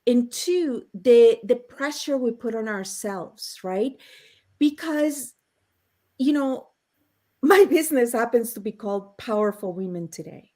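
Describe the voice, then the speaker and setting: A woman's voice: on the high side, expressive, a little slow, dark tone. A woman hosting a casual panel chat on a video call, telling stories with frequent laughs. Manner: chatty, laughing storyteller. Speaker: host.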